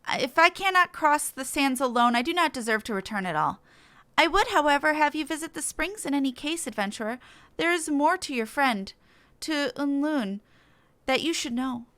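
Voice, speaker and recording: Woman voicing an earnest high-pitched huntress. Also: animated, moderate pace, a bit bright, no echo. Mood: proud, formal. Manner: earnest, formal.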